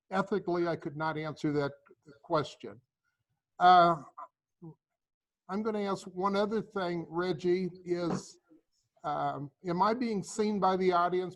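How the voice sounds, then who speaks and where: A man, a judge on a panel, explaining things in a careful, measured way over a video call. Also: sits high, rough recording. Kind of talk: panel discussion.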